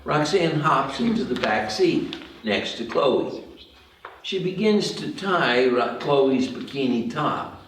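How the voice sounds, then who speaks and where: A man's voice: fairly high pitch, lively intonation, slow pace, fairly bright tone, a little hiss or hum. A man reading stage directions as the narrator of a screenplay table read. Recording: very echoey room, distant mic.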